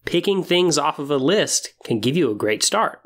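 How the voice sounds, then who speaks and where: A man's voice: fairly high pitch, expressive, moderate pace, fairly bright tone. Encouraging male podcast host. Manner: encouraging, addresses listeners directly. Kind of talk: semi-scripted podcast talk on tabletop roleplaying.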